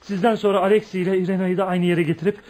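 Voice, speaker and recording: A man's voice: sits high, steady tone, rapid-fire, darkish tone, lightly acted. A man acting a fierce, bossy, protective young man in a Turkish radio play, with an emphatic, theatrical delivery. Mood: grave, resolute.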